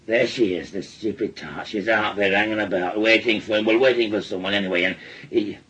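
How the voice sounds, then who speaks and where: An actor playing a bitter, weary, ailing working-class father with a Northern English accent and a husky, halting delivery in a radio drama. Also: fairly low pitch, a bit bright, highs cut off. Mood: brooding, halting. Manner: halting, repeating himself. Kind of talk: naturalistic British radio drama acting.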